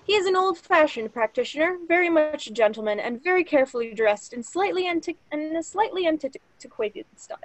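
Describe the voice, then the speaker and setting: A woman's voice: sits high, lively intonation, brisk, hardly any pauses, no echo, low-quality recording. A young woman reading stage directions as scripted narration. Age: young adult. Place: small lit room at home, on a video call.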